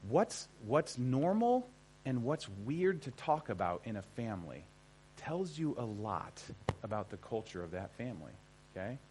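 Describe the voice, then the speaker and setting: A man's voice: mid-range voice, very animated, moderate pace, a little mellow, a little hiss or hum, low-quality recording. Conversational American man teaching a seminar. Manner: conversational, emphatic, often checks in with listeners. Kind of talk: informal seminar lecture to an audience.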